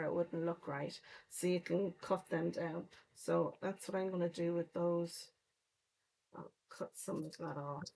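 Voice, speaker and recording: Slow-talking woman with a soft Irish accent. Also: on the low side, rough recording. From Ireland, County Clare. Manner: chatty, explains each step while working. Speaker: card-making livestream host. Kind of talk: casual crafting livestream chat.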